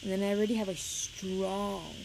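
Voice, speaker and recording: A young woman with a Puerto Rican accent chatting on a livestream, talking fast and rambling nervously. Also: mid-range voice, steady tone, creaky. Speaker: live streamer. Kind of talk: rambling casual chat on a livestream. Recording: noisy home stream audio.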